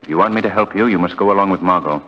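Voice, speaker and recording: An actor playing a level-headed, inquisitive gentleman sleuth with a composed, questioning manner in 1940s radio style. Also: low voice, racing. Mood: probing, firm. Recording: dull 1940 radio recording.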